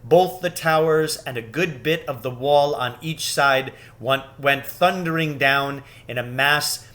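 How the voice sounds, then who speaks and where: A man's voice: mid-range voice, unhurried, pushed and bright. A man narrating a children's storybook as a scripted read-aloud. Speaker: storybook reader.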